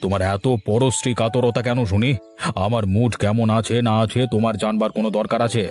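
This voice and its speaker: A man's voice: fairly low pitch, little pitch movement, a little fast, a little mellow, soft background music, lightly acted. A man voicing a grumpy, scolding older village man in a cartoon story, irritable and dismissive. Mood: angry, sarcastic. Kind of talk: dramatized cartoon story dialogue.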